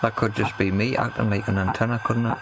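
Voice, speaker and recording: A man's voice: on the low side, flat delivery, fast talker. A man gives casual, thinking-aloud commentary on a repair job, tentative and often questioning himself. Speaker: host of a hobby radio repair video. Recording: clear background noise with clicking.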